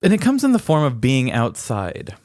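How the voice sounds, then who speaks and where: A man co-hosting a podcast, telling stories in a joking, deadpan way. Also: mid-range voice, normal speed, no echo, studio quality. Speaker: podcast co-host. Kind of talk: casual chat.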